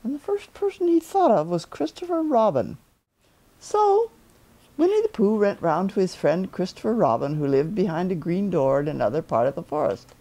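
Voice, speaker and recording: An elderly woman reading a children's story aloud in an expressive, deliberate way, as a storyteller in her living room. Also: on the low side, rough recording. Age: 70s or older.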